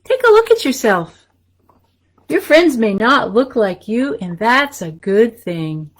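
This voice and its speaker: A woman's voice: fairly high pitch, big pitch swings, slow, a little hiss or hum. A woman hosting a story time for young children, reading aloud and chatting casually in simple, encouraging language.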